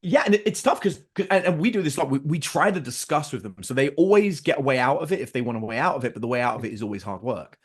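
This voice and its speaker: Man, middle-aged, rapid talker, animated storyteller, acts out dialogue. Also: medium pitch, husky. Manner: animated, acts out his kids' and his own lines. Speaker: podcast guest. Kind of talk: storytelling in casual conversation.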